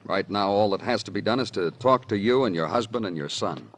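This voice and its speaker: An American actor playing a terse, insistent New York police detective in a 1950s radio crime drama. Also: male voice, lowish voice, animated, brisk. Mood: pressing, accusing. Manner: clipped, insistent questioning. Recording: hissy old radio recording.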